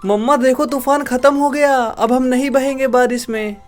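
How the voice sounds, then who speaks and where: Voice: high voice, fairly even intonation, moderate pace, faint music behind, lightly acted. A voice actor playing a small, scared bird chick in a cartoon, talking in a worried, pleading way. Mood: relieved.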